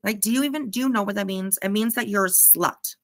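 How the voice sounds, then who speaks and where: Snarky lady reacting to someones livestream, sweary n sarcastic, average pitch, really dry sound. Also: fast talker, dry recording. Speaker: reaction channel commentator. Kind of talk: casual mocking commentary over a played livestream clip.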